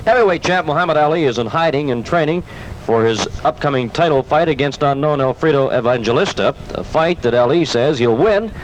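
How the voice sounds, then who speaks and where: A male sports reporter delivers a scripted 1970s bulletin in a slightly high voice. The old radio recording has faint noise behind him. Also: animated, brisk, low-quality recording.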